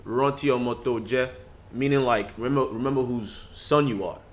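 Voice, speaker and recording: A man's voice: medium pitch, moderate pace, slight background noise. A young man talking in a casual podcast discussion, reflective and full of restarts. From Nigerian family background. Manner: reflective, with frequent restarts and "you know".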